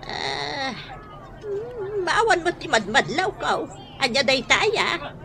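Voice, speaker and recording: A woman's voice: sits high, very animated, takes it slowly, gravelly, crowd noise, full character voice. A woman playing a nosy, teasing older neighbour in an Ilocano radio drama comedy, drawling her questions.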